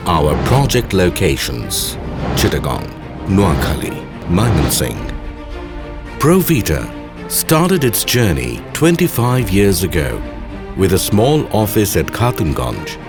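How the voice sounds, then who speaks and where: Lively low-voiced man narrating over music. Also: slow. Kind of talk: scripted corporate documentary narration. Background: music behind the voice.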